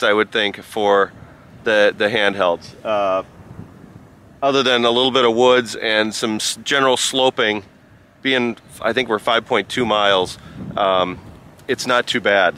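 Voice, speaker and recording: A man giving a casual vlog-style review outdoors in a halting, rambling way. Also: mid-range voice, sharp tone, a little hiss or hum. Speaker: product reviewer and amateur radio operator. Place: outdoors by a rural road.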